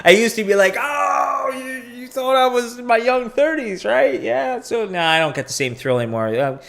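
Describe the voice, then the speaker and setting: A man's voice: very high-pitched, lively intonation, normal speed, creaky, fairly bright tone. A man in his forties hosting a crypto live stream, talking casually and candidly, rambling with profane asides. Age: 40s. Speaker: crypto podcast host.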